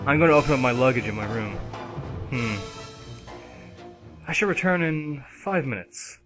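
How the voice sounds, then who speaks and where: A man playing a polite, deliberate and enigmatic male guest in an audio drama. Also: medium pitch, expressive, over music, lightly acted. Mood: sly, casual.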